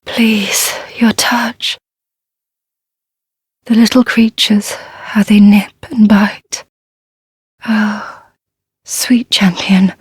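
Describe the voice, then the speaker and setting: An actress playing a gentle, devout and fearful blind young nun in a dark fantasy game, speaking softly and humbly in a breathy, whispery voice. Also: female voice, mid-range voice, no room sound, clean studio sound, exaggerated voice. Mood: frightened, pleading. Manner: halting, humble, formal, reverent.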